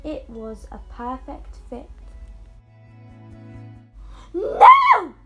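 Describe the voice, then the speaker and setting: A female reader narrating a fairy tale aloud in an expressive, scripted storytelling style. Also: high-pitched, measured pace, soft background music.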